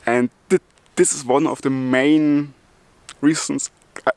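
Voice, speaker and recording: Slow-talking German man who stutters. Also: mid-range voice, fairly even intonation, darkish tone, no room sound. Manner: stutters with repeated sounds and restarts; chuckles between phrases. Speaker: YouTuber. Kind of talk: casual vlog talk.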